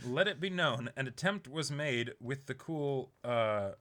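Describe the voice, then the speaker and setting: A young man with a bright, crisp-edged voice sits in a small room hosting a casual video. He reads aloud in an informal, halting way, prone to chuckling between phrases. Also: mid-range voice, expressive. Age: young adult. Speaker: YouTube unboxing host. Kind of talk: reading a viewer letter aloud, casual. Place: small indoor room, seated.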